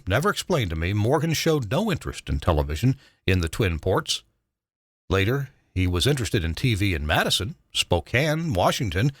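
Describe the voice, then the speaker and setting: An older man, a retired broadcast engineer, narrating a scripted memoir in a measured, dramatic way with emphatic stresses. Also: lowish voice, a bit bright, dry recording, clean studio sound.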